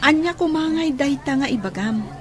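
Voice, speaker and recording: A woman's voice: sits high, expressive, normal speed, a faint crowd, slight background noise. A woman playing a plaintive, questioning young daughter in a radio drama, with an Ilocano Filipino accent. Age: young adult.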